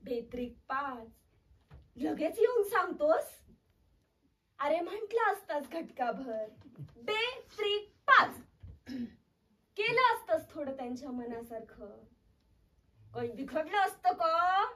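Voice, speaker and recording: A woman's voice: sits high, big pitch swings, slow, pauses often, full character voice. A woman playing a volatile, self-pitying mother scolding her child in a theatrical stage monologue. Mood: reproachful. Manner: theatrical, swinging between shouting, pleading and sobbing. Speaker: actor performing a theatre monologue. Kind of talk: dramatic Marathi stage monologue. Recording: voice far from the mic.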